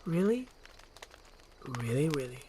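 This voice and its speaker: A performer voicing a gentle, earnest young princess in a fairy-tale roleplay. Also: mid-range voice, big pitch swings, slow pace, slight background noise. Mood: tender.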